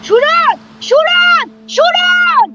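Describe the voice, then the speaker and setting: A woman playing a desperate prehistoric tribeswoman in an audio drama, shouting in a shrill, frantic way. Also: lively intonation, drawn-out words, highs cut off, very noisy, exaggerated voice. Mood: frantic alarm. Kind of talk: Bengali audio drama acting. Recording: loud music under the voice.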